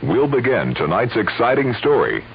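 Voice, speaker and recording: A man's voice: mid-range voice, big pitch swings, moderate pace, heavy background noise. A man announcing in mock-dramatic scripted radio narration, on a muffled recording. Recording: muffled, with loud music behind. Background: loud music.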